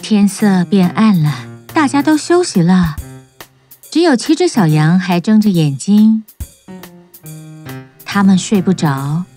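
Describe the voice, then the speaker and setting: A woman's voice: medium pitch, lively intonation, takes it slowly, pauses often, mellow, soft background music. A woman storyteller giving scripted narration for a children's tale.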